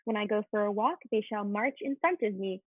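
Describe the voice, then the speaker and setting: A woman's voice: mid-range voice, a little fast, no echo, lightly acted. A woman playing an opinionated, scornful teenage schoolgirl in a dramatic reading, on a thin, phone-like recording. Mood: dreamy, bossy. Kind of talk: dramatic reading of a period play. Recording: thin phone-like sound, very dry.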